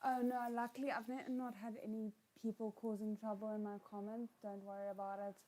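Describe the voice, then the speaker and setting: A woman hosting a live watchalong, reacting casually and chatting with viewers in a halting, unhurried way, often trailing off mid-thought. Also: mid-range voice, level tone, dark tone, low-quality recording.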